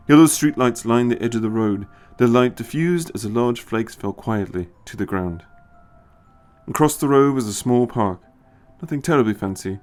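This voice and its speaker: A man's voice: lowish voice, a little mellow. A man reading scripted story narration as the narrator.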